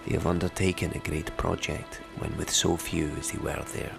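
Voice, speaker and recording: A man narrating passages from an old chronicle in a flat, measured reading. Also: sits low, over music. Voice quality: gravelly.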